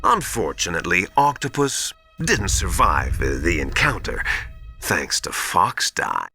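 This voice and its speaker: A man's voice: sits low, huge pitch swings, measured pace, pushed and bright, soft background music, exaggerated voice. A man playing a smug, sinister terrorist leader in a video game, mocking and theatrical, drawing out his words. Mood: smug explaining. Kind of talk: dramatic video game voice acting.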